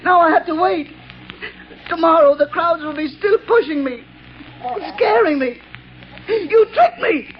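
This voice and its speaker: A man's voice: extremely high pitch, unhurried, pauses often, a little hiss or hum. An actor playing a jittery male bomber who hates crowds, stammering and giggling in old-time radio drama style. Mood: panicked, whimpering. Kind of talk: 1930s radio drama acting. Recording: dull old radio recording.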